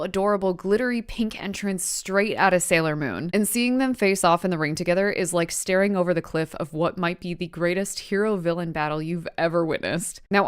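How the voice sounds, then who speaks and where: Woman, slightly low clear voice, casual vlog delivery, enthusiastic, chatty, emphatic asides. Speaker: video creator and wrestling reaction host. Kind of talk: casual scripted recap vlog.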